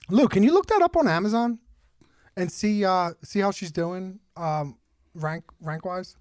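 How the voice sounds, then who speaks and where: A man's voice: sits high, animated, measured pace, a little mellow, clean tone, no room sound, clean studio sound. A man co-hosting a podcast, chatting casually and telling stories in slangy, sweary talk. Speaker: podcast co-host. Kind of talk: casual chat and anecdotes.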